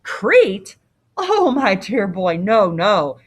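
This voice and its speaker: A woman's voice: lowish voice, lively intonation, moderate pace, a bit bright. Woman voicing an anxious old king. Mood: alarmed. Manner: cautious, fretful. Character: anxious old king, protective, doting father.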